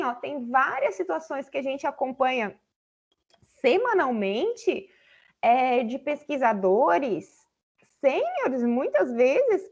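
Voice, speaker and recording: High-voiced woman lecturing over a video call. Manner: explanatory, many fillers like "né" and "assim". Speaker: guest lecturer. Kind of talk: answering questions in a seminar. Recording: slightly dull online audio.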